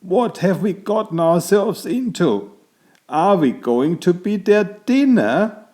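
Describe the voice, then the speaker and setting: A man's voice: sits high, very animated, slow pace, a little mellow, pitched above the performer's own voice, exaggerated voice. A man voicing an elegant, polite tomcat adventurer who is easily alarmed, in a theatrical children's storybook delivery.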